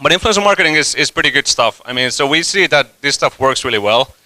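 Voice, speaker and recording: A man's voice: mid-range voice, expressive, fast talker, sharp tone, no room sound. A man in his thirties giving a casual, joking conference talk, with many fillers and restarts. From Finland. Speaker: conference speaker, company CEO. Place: conference hall stage.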